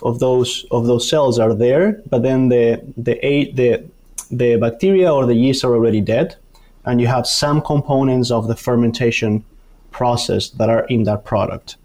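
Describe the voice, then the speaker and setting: A man's voice: medium pitch, unhurried, darkish tone. Argentine man explaining in an interview. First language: Spanish. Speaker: guest, university dairy researcher.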